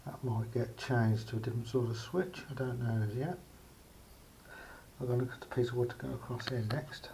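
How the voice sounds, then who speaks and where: Creaky-voiced man, halting hands-on demonstration. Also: mid-range voice, steady tone. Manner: halting, pausing mid-phrase. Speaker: hobbyist showing a homemade radio aerial project. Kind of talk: casual unscripted hands-on demonstration. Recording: faint background noise, amateur camera recording.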